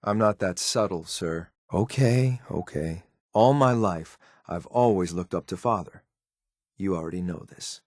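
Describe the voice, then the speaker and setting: A man acting as a stoic, loyal but ruthless synthetic soldier in a video game, with a clipped, military, deadpan delivery. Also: low-pitched, a little slow, no room sound, studio quality. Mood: earnest, restrained. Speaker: companion character in a video game. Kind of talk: scripted game voice lines.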